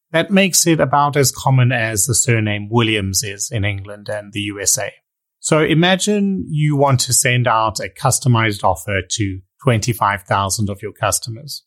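A man with a South African accent hosting a podcast, reading a measured, explanatory intro and then asking interview questions. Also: mid-range voice. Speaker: podcast host.

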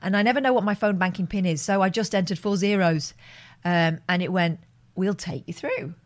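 Female co-host, middle pitch, deadpan teasing, chatty storyteller. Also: brisk. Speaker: podcast co-host telling a story. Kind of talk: casual anecdote telling.

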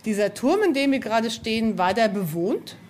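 A woman's voice: a little high, lively intonation, normal speed, a little mellow, a little hiss or hum. A woman speaking casually as an interview guest on location, thinking aloud and repeating words as she goes. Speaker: novelist, guest. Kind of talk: casual conversational interview answers. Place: on location at an old castle.

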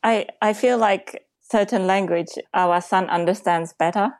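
A woman's voice: medium pitch, a little slow, no echo, studio quality. A woman from Japan with a Japanese accent, a mother speaking English as her second language in a thoughtful, earnest way. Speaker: podcast guest, mother. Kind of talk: casual interview chat.

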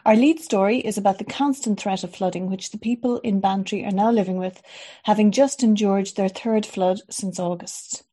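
A woman hosting a podcast, gently interviewing a guest and reading a news roundup. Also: mid-range voice, normal speed, slightly rough. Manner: gentle, friendly, conversational. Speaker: podcast host.

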